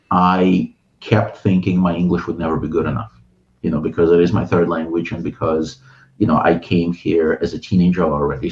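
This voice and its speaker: A middle-aged man from Ukraine with a Russian accent, a guest on a video call, talking thoughtfully with many filler pauses. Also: low voice, highs cut off. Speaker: guest, writer and translator. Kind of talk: casual interview talk. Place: home room with bookshelves.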